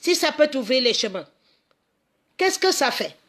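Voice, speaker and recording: Woman from cameroon, middle-aged, talks with lots of emphasis, keeps repeating herself, voice a bit on the high side. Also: sing-song, measured pace, a bit bright. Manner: emphatic, repeats words and phrases, many restarts. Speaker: community association founder. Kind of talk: impassioned monologue.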